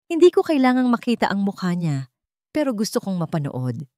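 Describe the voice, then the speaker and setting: A woman voicing a betrayed wife in an audio drama story, composed and bitter, giving firm, deliberate instructions. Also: medium pitch, very animated, warm tone, no room sound, clean studio sound, lightly acted. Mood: cold, commanding.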